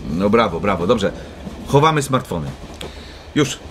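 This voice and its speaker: Playful dad with lively swooping pitch. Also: male voice, measured pace, background noise. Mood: flustered, hurried. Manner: jokey, rhyming wordplay. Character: playful, teasing, busy father of young children. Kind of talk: children's audio drama acting.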